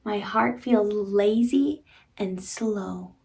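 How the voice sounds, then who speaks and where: A woman's voice: mid-range voice, extremely slow, vocal fry, dark tone. A woman in her thirties reading a picture book aloud to young children, speaking expressively in simple teacherly phrases and addressing the child directly.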